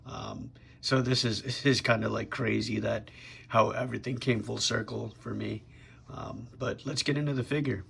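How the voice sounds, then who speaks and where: A young man chats through a toy review while sick, and his voice comes out heavily raspy and gravelly. He talks in a loose, laid-back way, stopping and restarting often. His voice sits low. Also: steady tone, normal speed, a bit bright. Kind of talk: casual video review.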